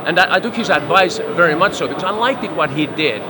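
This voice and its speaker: A man's voice: fairly high pitch, animated, fast. A middle-aged man from Finland, a photographer telling stories in an interview on a busy trade show floor. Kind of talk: casual interview storytelling. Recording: loud crowd noise behind.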